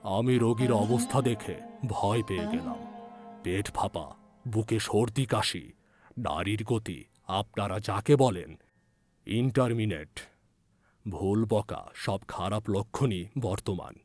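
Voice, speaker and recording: A man's voice: fairly low pitch, takes it slowly, long pauses, a little mellow, no room sound, soft background music. A man playing a grave, touchy old village physician who recounts his past solemnly in a dramatized ghost story. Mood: solemn reminiscing.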